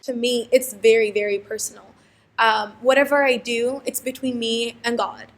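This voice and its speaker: Higher-voiced young woman from Saudi Arabia. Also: little pitch movement, normal speed. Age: young adult. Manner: thoughtful, emphatic repetition. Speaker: student interviewee. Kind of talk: reflective interview answers.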